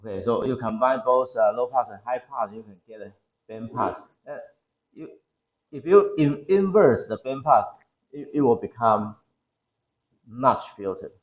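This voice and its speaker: Halting male lecturer with a Taiwanese accent. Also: mid-range voice, phone-quality sound. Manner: halting, repeats phrases as he explains. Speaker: university lecturer. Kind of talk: classroom engineering lecture. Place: indoor classroom.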